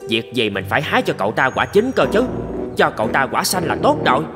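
A man's voice: medium pitch, huge pitch swings, fast talker, over music. Man voicing a sly, greedy cartoon monkey. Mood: greedy, calculating. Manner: scheming, smug. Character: sly, greedy, selfish young male monkey. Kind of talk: children's cartoon voice acting.

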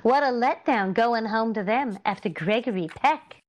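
A woman's voice: on the high side, animated, moderate pace, lightly acted. A middle-aged woman playing a chatty, dreamy housewife in a 1940s radio comedy. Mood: swooning, gossipy.

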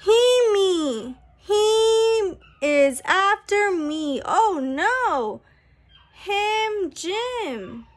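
A young woman, a preschool teacher, reading a picture book aloud to small children in an animated, sing-song, exaggerated way. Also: very high-pitched, very slow. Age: young adult. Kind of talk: read-aloud story time for small children. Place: small room at home, indoors. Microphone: phone at arm's length.

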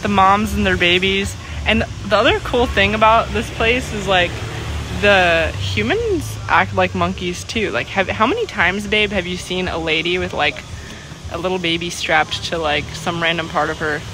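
A woman's voice: lowish voice, normal speed, background noise. A woman in her thirties with an American accent, chatting casually and in a rambling way for a vlog. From United States. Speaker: vlogger. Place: open-fronted street-side cafe. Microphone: handheld phone at arm's length. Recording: music behind, handheld outdoor vlog audio. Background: music.

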